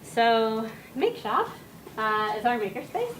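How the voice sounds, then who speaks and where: A soft-spoken woman gives a lecture, her quiet voice picked up from a distance. She pauses often with small fillers as she explains. A steady hiss of room noise sits under her words. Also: fairly high pitch. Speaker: guest speaker. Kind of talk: academic talk to an audience. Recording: distant mic with noisy room.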